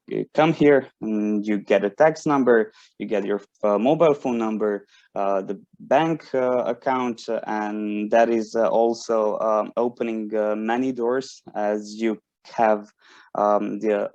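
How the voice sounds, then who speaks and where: A young man from Bosnia in his twenties talks as a student to newcomers, pausing often on fillers and restarting his sentences. His voice sits low, and the recording is dry and a little dull, as over a video call. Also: a little mellow, no echo. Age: 20s. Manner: hesitant, many fillers and restarts. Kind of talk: informal talk to prospective students.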